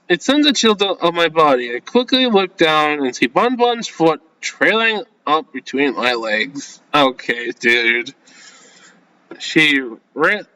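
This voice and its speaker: A bright-voiced man reads a visual novel aloud as a gaming host. His pitch sits a little high and moves around a lot. He often stumbles and breaks off into flustered, casual asides. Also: rough recording. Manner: flustered, halting, self-censoring with casual slang.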